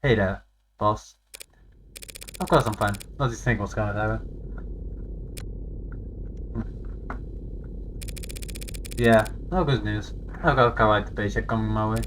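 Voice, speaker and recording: An actor voicing a cool, guarded professional lawyer in a video game, dryly and tersely, in measured lines. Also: medium pitch, a little hiss or hum, lightly acted, rough recording. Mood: wry, weary. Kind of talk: voiced visual novel, inner monologue and dialogue. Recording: game audio with music behind. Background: music.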